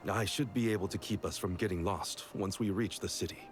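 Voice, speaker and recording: An actor playing a vigilant, reserved swordsman in a fantasy video game, speaking in a measured, formal, serious way. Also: deep voice, slight background noise, close to an everyday voice. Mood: calm, reflective. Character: composed, vigilant male swordsman, earnest and reserved. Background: game music.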